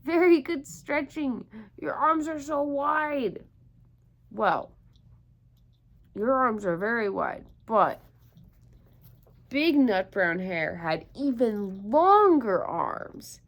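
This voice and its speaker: Female children's storyteller, high and very lively, slow-paced, encouraging and full of questions. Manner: encouraging, asks questions and repeats them. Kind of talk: interactive picture-book read-aloud for young children.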